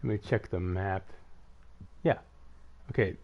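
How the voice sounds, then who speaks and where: A man's voice: low voice, slow, vocal fry, a little hiss or hum. A man with a slight lisp chatting casually and rambling as he streams gameplay, in a self-effacing way. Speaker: gaming streamer. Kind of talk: casual live gameplay commentary, chatting with viewers. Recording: dull lo-fi mic, music behind.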